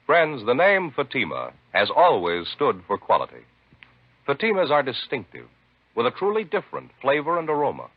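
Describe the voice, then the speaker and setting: Male commercial announcer, highly melodic delivery, polished sales read, dry, muffled vintage radio. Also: wildly swooping pitch, normal speed, breathy edge, no echo. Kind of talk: scripted 1950s radio advertisement. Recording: dry, dull 1950s radio recording.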